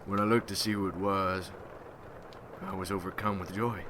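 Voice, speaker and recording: A man playing a weary, haunted rural Civil War veteran turned vampire hunter, telling his story slowly and haltingly in an ASMR roleplay. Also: low-pitched, level tone, background noise, close to an everyday voice. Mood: grave. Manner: slow, halting, somber folksy storytelling.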